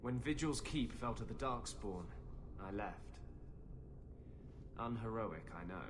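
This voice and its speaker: An actor playing a wry, sarcastic runaway mage in a fantasy video game. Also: male voice, on the low side, expressive, a little slow, background noise. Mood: wry, sardonic. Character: sardonic runaway male mage, former Grey Warden. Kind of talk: fantasy game cutscene acting. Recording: music behind the voice. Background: music.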